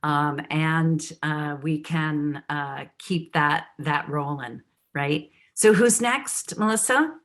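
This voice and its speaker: A woman's voice: sits low, takes it slowly, hardly any pauses. A woman hosting a live storytelling event over a video call, loose and upbeat, full of fillers. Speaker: host.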